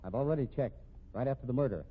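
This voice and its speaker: An actor playing a wry, dogged police captain with a folksy, probing manner in a 1950s radio detective drama. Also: male voice, mid-range voice, quick pace, phone-quality sound, slight background noise, close to an everyday voice. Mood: coolly accusing. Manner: folksy, hesitant probing with casual uh and hmm. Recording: thin, band-limited 1950s radio recording.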